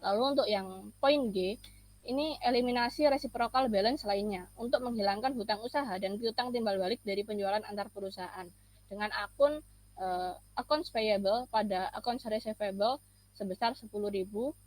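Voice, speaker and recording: A young woman explains slides as a student presenter, with hesitant, halting phrasing. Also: medium pitch, darkish tone, dry recording, low-quality recording. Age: young adult.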